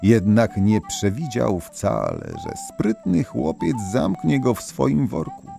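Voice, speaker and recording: A man narrating a scripted folktale as an expressive, theatrical storyteller. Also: medium pitch, takes it slowly, vocal fry, faint music behind. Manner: theatrical, animated.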